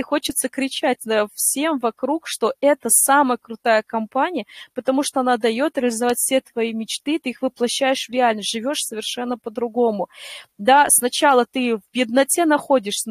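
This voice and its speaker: A hoarse woman in her thirties, earnestly telling her own story in an online voice chat, with many fillers and restarts. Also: a little high, moderate pace, no room sound. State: hoarse today. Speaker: network-marketing member giving a testimonial. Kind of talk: emotional personal testimony in an online voice chat.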